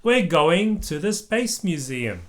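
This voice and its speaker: A parent reading as a kindly lady gazelle teacher in a storybook. The delivery explains things brightly, with wide, lively intonation. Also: mid-range voice, slow, pitched above the performer's own voice. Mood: encouraging. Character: kindly female gazelle schoolteacher. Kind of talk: children's storybook read-aloud.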